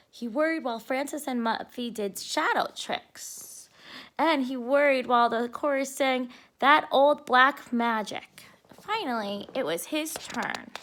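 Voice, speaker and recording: A woman giving an expressive read-aloud of a storybook for preschoolers, explaining simply to young listeners. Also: on the high side, unhurried.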